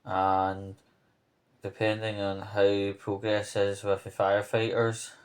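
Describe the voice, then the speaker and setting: A Scottish man from the Glasgow area speaks slowly in a low, flat voice. He gives an informal vlog-style update from his kitchen, sounding earnest and a little hesitant as he goes. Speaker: vlogger. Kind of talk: informal spoken news update to camera. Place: home kitchen.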